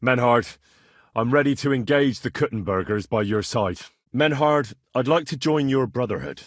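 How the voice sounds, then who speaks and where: A man acts out a young medieval swordsman for a video game. His delivery is animated and colloquial, with wide swings in pitch and a slightly husky edge. He sounds plain-spoken and earnest, sometimes cheeky, in a close, dry recording. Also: moderate pace, no room sound, lightly acted. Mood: earnest, resolute. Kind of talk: video game voice lines, naturalistic dramatic acting.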